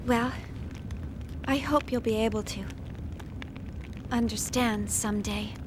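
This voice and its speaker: An actress playing a gentle, caring young female chief engineer in a video game, speaking conversationally. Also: fairly high pitch, steady tone, takes it slowly, background noise. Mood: wistful, tender.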